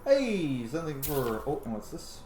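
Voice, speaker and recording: A man's voice: fairly high pitch, big pitch swings, moderate pace, darkish tone. A man giving casual, profanity-laced commentary while playing a video game. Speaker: gaming video host. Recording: roomy echo, clear background noise.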